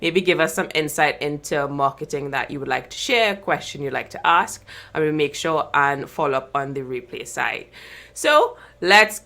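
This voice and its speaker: A woman's voice: low-pitched, animated, moderate pace, creaky, pushed and bright. A woman hosting a live online interview show, speaking in an upbeat, chatty way and laughing easily, over a video call. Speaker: host.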